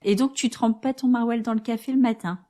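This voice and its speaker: A woman's voice: fairly high pitch, fast talker. A woman hosting a book podcast, asking prepared interview questions and reading a book summary. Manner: steady, reads prepared questions. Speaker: podcast host.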